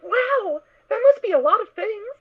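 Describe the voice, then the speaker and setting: A woman's voice: extremely high pitch, expressive, moderate pace. A woman playing a sweet, naive little girl pony in a fan audio drama, with childlike, earnest, hesitant speech. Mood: innocent, chatty. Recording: tinny, narrow phone-like sound.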